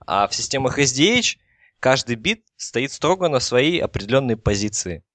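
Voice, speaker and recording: A man's voice: mid-range voice, big pitch swings, normal speed, a bit bright, no room sound. A man explaining technical material in a casual podcast discussion, hesitant and thinking aloud as he goes. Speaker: technical expert explaining telecom topics.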